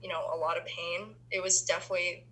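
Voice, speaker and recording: A young woman from the Philippines answers interview questions with a bright, sharp tone. Her voice is a little husky and breathy. She talks casually, with lots of ums and restarts. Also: on the low side, level tone, fast, poor audio. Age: young adult. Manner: chatty, many ums and restarts. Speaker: interviewee, university student.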